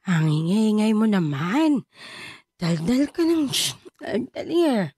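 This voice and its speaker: A woman's voice: medium pitch, very animated, slow, dark tone, husky, no room sound, clean studio sound, full character voice. An actress playing an abusive, drunken, bitter mother in a Filipino radio drama, scolding and belittling. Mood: irritated scolding. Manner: scolding, belittling, hot-tempered.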